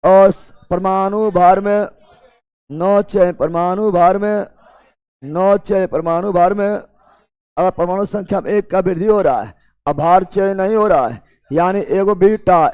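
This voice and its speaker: High-pitched Bihari male teacher, slow dictation. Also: darkish tone, smooth. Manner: repeats key phrases as if dictating; informal, teasing address to students. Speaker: chemistry teacher for exam coaching. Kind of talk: classroom lecture with drilled question and answer.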